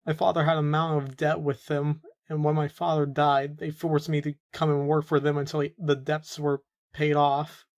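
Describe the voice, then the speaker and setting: A man's voice: on the high side, level tone, normal speed, few pauses, a little mellow, natural voice. A man playing a young captive forced into gang work in an audio drama, earnest, protesting and defiant. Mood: defensive, earnest. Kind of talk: amateur audio drama roleplay.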